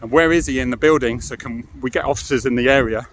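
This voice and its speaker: A man's voice: mid-range voice, quick pace, a little hiss or hum. A middle-aged British man giving an informal, explanatory monologue as a former police officer, frank and matter-of-fact, often adding tag questions.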